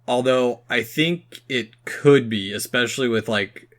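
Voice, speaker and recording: Halting man chatting casually. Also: mid-range voice, fairly bright tone. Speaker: podcast co-host. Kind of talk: casual chat about movies and games.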